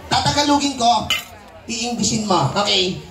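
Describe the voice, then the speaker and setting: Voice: mid-range voice, animated, unhurried, fairly bright tone. A Filipino ventriloquist hosting a children's puppet show with playful live banter. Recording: echoey venue with crowd noise.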